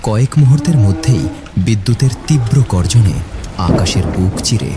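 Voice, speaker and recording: A man's voice: lowish voice, animated, moderate pace, over music. A man narrating a scripted ghost story for a cartoon. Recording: noisy, with rain and thunder behind.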